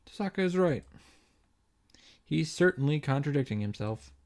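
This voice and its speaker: A man's voice: on the high side, lively intonation, normal speed, darkish tone. A man hosting a story recap, reading the narration dramatically and adding wry commentary of his own. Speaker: host of a visual novel reaction and summary series.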